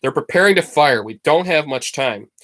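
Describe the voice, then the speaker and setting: A man's voice: fairly high pitch, animated, moderate pace, a bit bright. A bold teenage girl spy from a storybook, read by a man. The delivery is curt and bossy, giving orders with firm command. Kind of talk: storybook character voice.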